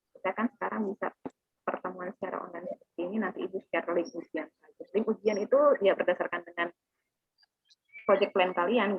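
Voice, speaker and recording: A woman's voice: medium pitch, breathy edge, no room sound. A woman teaching an online class, explaining plans and often checking that students understand. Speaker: teacher giving a class briefing. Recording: narrow phone-like online call audio.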